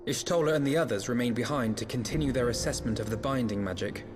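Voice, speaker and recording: An actor playing an earnest young scholar-leader in a fantasy game, speaking in a formal, grave manner. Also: male voice, mid-range voice, quick pace, faint music behind, natural voice. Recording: reverberant hall sound.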